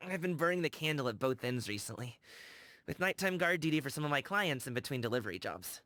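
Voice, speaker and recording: A man's voice: on the high side, sing-song, fairly quick, a bit bright. A man playing a friendly, earnest young delivery guard in a video game. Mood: weary, relieved. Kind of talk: game character voice line. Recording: background music behind the voice. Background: music.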